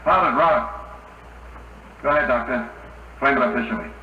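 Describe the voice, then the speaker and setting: A man acting in a 1950s radio drama as an eager moon explorer with a brisk manner. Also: medium pitch, close to an everyday voice. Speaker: radio actor. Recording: echoey, muffled, noisy old radio broadcast.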